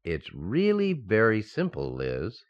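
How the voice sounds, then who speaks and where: A man acts out a sensible banker husband in a radio comedy. He explains with strained patience and mock sternness, his pitch swinging dramatically up and down. The recording is a little dull. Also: huge pitch swings, takes it slowly, heavily acted. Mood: exasperated. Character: exasperated, sensible banker husband in a domestic sitcom. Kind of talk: old-time radio sitcom acting.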